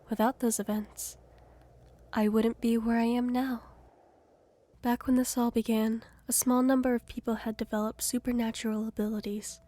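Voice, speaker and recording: A woman playing a young gifted fugitive who narrates her own story in a measured, earnest audio drama style. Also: a little high, mellow, close to an everyday voice. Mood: wistful. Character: young gifted female fugitive, wistful and plain-spoken. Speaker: narrator and lead. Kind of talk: scripted first-person audio drama narration.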